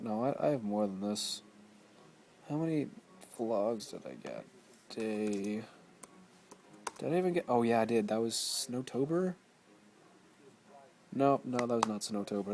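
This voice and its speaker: A man's voice: fairly low pitch, a little mellow. A man in his twenties, tired, rambling and swearing constantly in a casual vlog. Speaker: vlogger.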